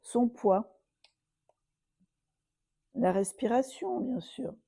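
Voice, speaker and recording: Female yoga teacher for guided relaxation: very dark, soft-edged tone, dry close sound, soothing and unhurried. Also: fairly high pitch, gravelly, husky, dry recording. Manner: soothing, unhurried, with long pauses.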